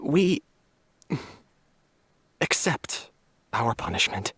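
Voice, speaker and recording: A regretful young man in a game drama. He speaks gravely and with resignation, apologizing. The sound is dry and close. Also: medium pitch, very animated, very slow, a bit bright, no echo, full character voice. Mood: remorseful, resigned. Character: remorseful, resigned young man of an orphan family.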